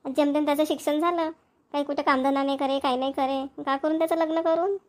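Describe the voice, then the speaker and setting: A woman plays a cartoon village mother who nags and scolds her children. Her voice is high and the words come very fast, in a rural Vidarbha Marathi accent. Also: fairly even intonation, natural voice. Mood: nagging. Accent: Maharashtrian, Vidarbha Marathi. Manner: nagging, scolding. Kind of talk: cartoon family comedy dialogue.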